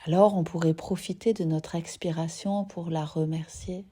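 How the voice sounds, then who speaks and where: A woman teaching yoga nidra, guiding a relaxation gently and unhurriedly in short phrases with soft, breathy endings. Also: lowish voice, steady tone, moderate pace. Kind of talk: guided relaxation meditation.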